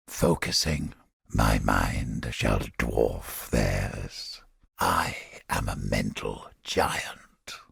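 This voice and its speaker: Raspy, haughty old scholar. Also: male voice, very deep voice, fairly bright tone, no echo, studio quality, full character voice. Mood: grave, brooding. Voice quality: raspy, gravelly. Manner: pompous, wordy, irritable. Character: cynical, haughty old heretic scholar with mind-control powers. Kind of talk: dramatic video game voice acting.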